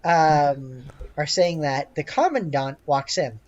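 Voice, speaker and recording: Low-voiced, unhurried woman game master. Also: animated, darkish tone. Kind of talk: casual narration of a tabletop game. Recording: dull lo-fi voice recording.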